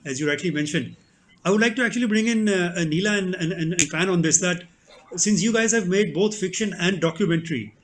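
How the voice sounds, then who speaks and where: A man moderates a film panel, affirming the speakers and turning to them with questions. His voice is pitched notably high, with faint noise in the background. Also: brisk.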